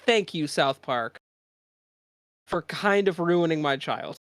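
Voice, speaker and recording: A man with a voice higher than most men's, co-hosting a casual podcast, laughing often and swearing a lot. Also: expressive, slow pace, a bit bright, dry recording. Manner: giggly, profane, rambling. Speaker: podcast co-host. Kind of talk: casual chat.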